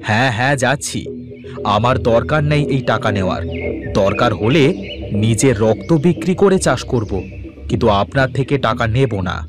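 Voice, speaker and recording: A man's voice: medium pitch, expressive, normal speed, close to an everyday voice. Man acting proud, aggrieved poor farmer over music. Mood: indignant. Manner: indignant, emphatic, repeats phrases. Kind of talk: animated cartoon story dialogue. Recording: music behind the voice.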